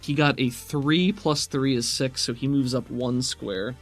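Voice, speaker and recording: Man, tabletop game master, informal rules talk, vivid scene narration, mid-range pitch, soft background music. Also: fairly even intonation, a little slow.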